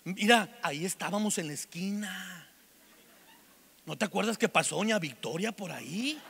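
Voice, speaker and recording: A man's voice: sits high, expressive, normal speed, vocal fry, fairly bright tone, slightly rough, exaggerated voice. A man with a Mexican accent mimicking a chatty wife who recounts every old detail of a past day. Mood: insistent. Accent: Mexican Spanish.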